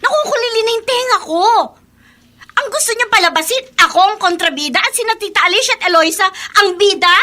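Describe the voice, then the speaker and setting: A woman's voice: extremely high pitch, animated, a little fast, pushed and bright, exaggerated voice. A woman playing a spoiled, sullen young daughter, petulant and insolent, in a radio drama.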